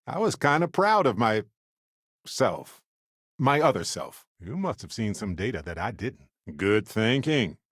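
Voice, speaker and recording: An actor playing a chatty, good-natured space explorer in a video game, speaking in a playful, conversational way with wry expressiveness. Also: male voice, lowish voice, sing-song, a little slow, fairly bright tone, no room sound, studio quality, exaggerated voice. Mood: upbeat, playful. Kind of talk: video game companion voice lines.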